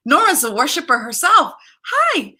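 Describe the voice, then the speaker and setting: A woman's voice: high-pitched, wildly swooping pitch, normal speed, sharp tone. A middle-aged woman chatting casually with her livestream viewers as host, bubbly and greeting them by name.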